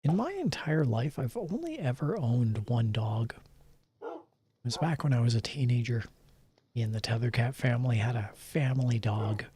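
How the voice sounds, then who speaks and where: Chill soft-spoken guy streaming late, chuckles a lot, drifts into whispers. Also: mid-range voice, lively intonation, moderate pace, a little hiss or hum. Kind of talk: late-night casual gaming chat.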